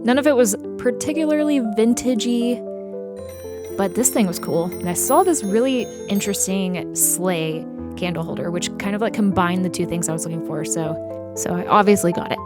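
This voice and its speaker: A young woman from Texas talks through her day in a bright, easy vlog, her voice a bit hoarse after a cold. She chats in a loose, upbeat way with plenty of fillers, and clear music plays under her. She sits at a middle height for a woman's voice. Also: mid-range voice, normal speed. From Texas, USA. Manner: chatty and upbeat, with many "like" and "uh" fillers. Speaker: vlogger, maker. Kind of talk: casual vlog chat. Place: inside her car, then out at estate sales. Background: music playing underneath.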